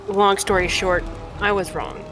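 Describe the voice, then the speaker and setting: A woman voicing a frank, earnest young horned male fighter in a fantasy game, in a measured, conversational way. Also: medium pitch, over music, close to an everyday voice. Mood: earnest, apologetic. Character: earnest young horned mongrel man, frank, wry cave-dwelling fighter.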